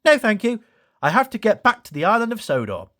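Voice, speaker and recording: Man voicing an eager, high-pitched young steam engine. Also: huge pitch swings, fairly quick, a bit bright, full character voice. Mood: earnest. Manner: exclamatory, earnest. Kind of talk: children's storybook character voice.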